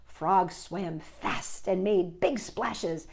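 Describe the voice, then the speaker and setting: A woman's voice: fairly low pitch, extremely slow, creaky, a little mellow, slight background noise. A woman hosting a children's storytime, reading a picture book aloud with playful, chatty asides to young viewers.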